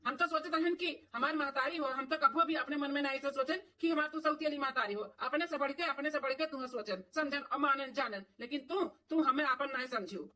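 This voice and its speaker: A woman voicing an aggrieved, high-pitched Awadhi village husband. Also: a little fast, hardly any pauses, pushed and bright, recorded from a distance. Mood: indignant. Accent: Awadhi, eastern Uttar Pradesh. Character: aggrieved village son, adult man, protective of his wife. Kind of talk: comedy cartoon family drama voice acting.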